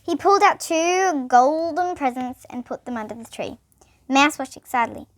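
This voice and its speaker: High-voiced girl reading a bedtime story. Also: normal speed. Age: child. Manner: expressive, with small stumbles. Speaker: storybook reader. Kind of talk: children's bedtime story read aloud.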